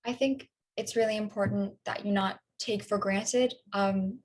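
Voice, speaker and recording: A woman playing a caring figure with halting, hesitant phrasing in a conversational documentary theater monologue. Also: mid-range voice, fairly even intonation, darkish tone, natural voice.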